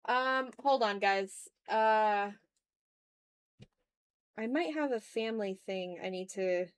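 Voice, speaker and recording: A woman's voice: mid-range voice, slow, clear, resonant tone, no room sound. A woman hosting an art livestream, chatting casually and informally to her viewers.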